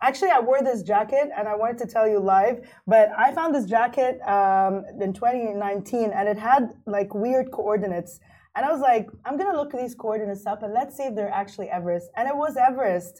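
A woman's voice: fairly high pitch, quick pace, warm tone. A woman in her thirties from Kuwait, a mountaineer guest talking casually in an interview, full of "like" and "you know". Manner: chatty, fillers like "like" and "you know".

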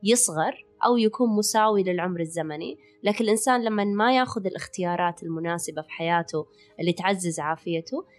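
A woman's voice: lowish voice, fast talker, soft background music. A woman with a Hijazi Saudi accent co-hosting a health podcast, explaining things conversationally and sprinkling in English words. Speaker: podcast co-host, holistic physician and wellness coach.

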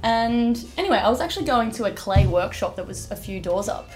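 A woman's voice: on the high side, a little fast, soft background music. Chatty Australian woman telling stories. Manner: chatty, laughs often, animated storyteller. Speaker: guest, café owner's wife. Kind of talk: casual storytelling chat. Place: record store café.

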